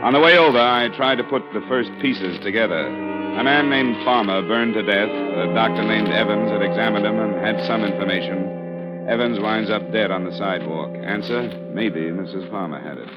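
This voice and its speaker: A wry, hard-boiled investigator, played by a man, narrates his case and trades sardonic wisecracks in a low voice. He sounds like an old radio detective show, heard through a dull vintage broadcast. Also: moderate pace, over loud music, lightly acted. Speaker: narrator and lead. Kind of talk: 1950s radio drama acting.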